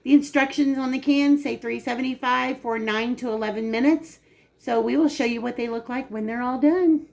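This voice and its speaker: A woman hosts a relaxed home cooking demonstration from her kitchen. Her voice is raspy and gravelly from a dry throat, set a touch high. She chats easily as she explains each step. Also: moderate pace. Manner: chatty, explains steps while working. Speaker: cooking channel host.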